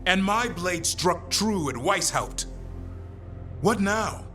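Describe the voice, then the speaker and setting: A man's voice: high-pitched, lively intonation, slow, pushed and bright, faint music behind, full character voice. An actor voicing a wry monster-hunting warrior in a video game, a man searching for purpose. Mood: brooding, earnest.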